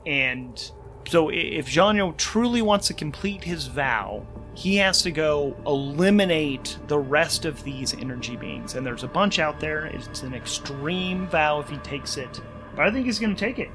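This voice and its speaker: A man's voice: a little high, animated, a little slow, fairly bright tone. A man working as game master and host of a solo tabletop game, thinking aloud as he narrates rules and story. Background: music.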